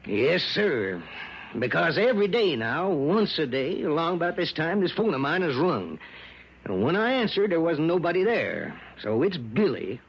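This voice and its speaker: A man's voice: on the high side, sing-song, vocal fry, slightly rough, heavily acted. An actor in an old-time radio drama playing a worried, rambling small-town mayor and newspaperman with a rural American accent. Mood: anxious. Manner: rambling, folksy, emphatic drawn-out storytelling. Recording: muffled old radio recording, faint noise.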